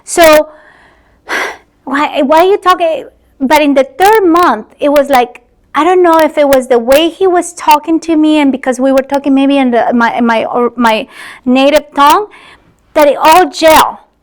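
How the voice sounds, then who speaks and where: A high-pitched woman in her sixties speaks with a Spanish accent, her native tongue being Spanish. She tells her story in relaxed conversation and slips into a whisper to drive a point home. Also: measured pace. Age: 60s. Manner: animated storytelling, dropping to a whisper for emphasis. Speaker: insurance business owner. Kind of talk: casual chat.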